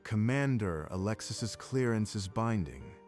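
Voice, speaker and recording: A man voicing a composed male academy headmaster who speaks in a measured, authoritative way. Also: lowish voice, animated, darkish tone. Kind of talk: manga dub voice acting. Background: faint music.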